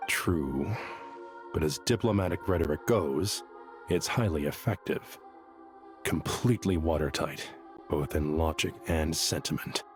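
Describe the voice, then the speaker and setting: A man's voice: extremely low pitch, measured pace, faint music behind. A man playing an earnest, polite scholarly aide in a video game, speaking formally and deferentially. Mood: formal, serious.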